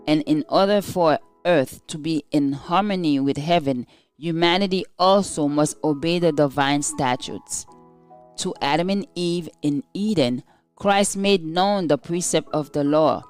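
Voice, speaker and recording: Female devotional reader: unhurried, solemn, smooth tone, music underneath. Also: low voice, darkish tone. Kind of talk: scripted devotional reading.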